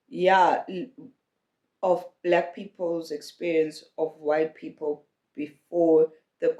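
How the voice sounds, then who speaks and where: A man's voice: on the high side, darkish tone, roomy sound. A young South African man, hosting his own podcast, telling his life story in a hesitant way with many restarts and fillers. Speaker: podcast host. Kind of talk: personal storytelling monologue.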